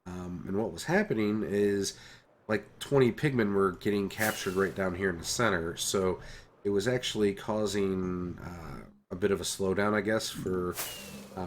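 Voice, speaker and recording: A man's voice: low-pitched, a little hiss or hum. A man with a stuffy, congested nose casually commenting on his gameplay, hesitant and full of ums and uhs as he explains each step. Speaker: host of a gameplay channel.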